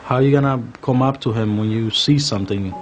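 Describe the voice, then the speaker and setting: A man from the Dominican Republic, a police officer in his thirties, giving earnest, measured testimony in a documentary interview and repeating phrases for emphasis. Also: medium pitch. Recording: music bed playing behind voice. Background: guitar music.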